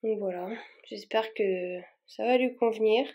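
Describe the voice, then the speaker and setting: A woman's voice: medium pitch, a little slow, muffled recording. A woman vlogging casually as she repots her plants, talking in a rambling way with plenty of fillers and restarts. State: a bit warm and tired from the work.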